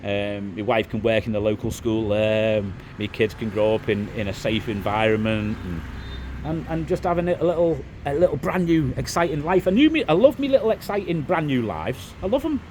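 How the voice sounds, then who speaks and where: Softened Scouse man rambling to camera. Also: mid-range voice, normal speed, a little hiss or hum. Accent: Liverpool, softened. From England. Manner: rambling, frequent restarts and "it is what it is". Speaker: vlogger, property developer. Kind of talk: casual monologue to camera.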